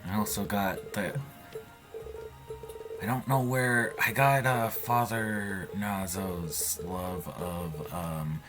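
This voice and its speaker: A man giving casual gameplay commentary with some improvised role-play, speaking in a halting, hesitant way. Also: lowish voice, fairly even intonation. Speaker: video game let's player. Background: game music.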